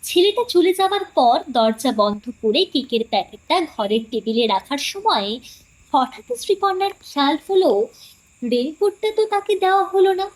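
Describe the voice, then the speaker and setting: A woman narrates a scripted story for an audio drama. Her voice is high, and her intonation moves widely as she tells it. The delivery is that of a dramatic storyteller. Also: sing-song, normal speed, poor audio.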